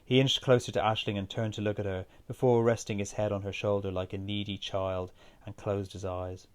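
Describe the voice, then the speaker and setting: A man's voice: fairly low pitch, level tone, a little fast, smooth. A man reading his own literary short story as scripted narration.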